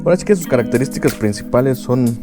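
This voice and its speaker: A man's voice: medium pitch, expressive, fast, music in the background. A man with a Mexican Spanish accent casually unboxing and explaining motorcycle gear, chatty and full of slang and filler phrases. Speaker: moto vlogger.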